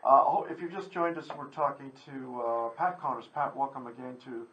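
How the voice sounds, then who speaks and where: A man hosts a casual live radio interview with some background noise. His voice sits at an average pitch. He is chatty and courteous, rambling a little as he goes. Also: moderate pace, muffled recording. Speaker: radio host. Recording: noisy, with background ambience.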